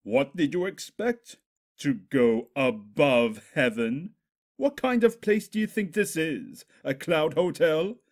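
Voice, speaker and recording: A man's voice: a little high, expressive, takes it slowly, pitched above the performer's own voice. A man voicing a cheerful, smug male pony with a mocking streak in a comic storybook reading. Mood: sneering, gleeful. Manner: cheerful, showy, nonchalant, mocking. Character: chirpy smug male pony, secretly a gleeful demon. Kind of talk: comedic storybook character voice.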